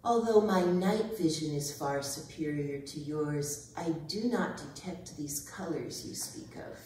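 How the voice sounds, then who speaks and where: Woman playing a low-voiced haughty cat in echoing hall. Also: darkish tone. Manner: haughty, formal, deliberate phrasing. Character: proud, wary house cat, fond of owner and naps. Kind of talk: staged dramatic reading. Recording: roomy echoing hall, distant mic, noisy.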